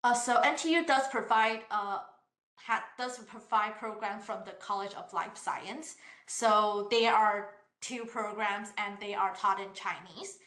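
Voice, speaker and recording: A woman's voice: medium pitch, unhurried, fairly bright tone. A woman from university admissions reading out questions and answering them in an online session, politely and with many hesitations. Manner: hesitant, frequent "uh" fillers and restarts; polite, explanatory. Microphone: online session over computer mic. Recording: roomy echo.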